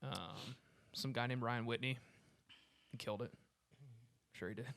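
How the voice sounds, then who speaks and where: A man's voice: mid-range voice, measured pace, creaky, fairly bright tone. A man chatting informally with friends, sounding keen and enthusiastic. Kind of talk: casual group chat.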